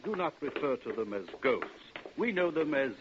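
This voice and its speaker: An old, slightly noisy and muffled radio recording holds an actor as an elderly psychic researcher. He is courteous and mild, with a medium pitch. He speaks formally and precisely, as a solemn believer in a vintage radio drama. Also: sing-song, normal speed, lightly acted. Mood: earnest, correcting. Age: older. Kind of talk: 1940s radio acting.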